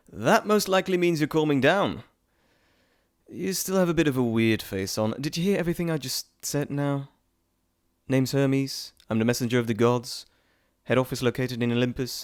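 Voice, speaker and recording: A playful trickster god , acted out by a man, speaks straight to the listener in an audio roleplay. He is chatty and theatrical, rambling and teasing, with a mid-pitched male voice recorded close and dry. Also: no echo, lightly acted.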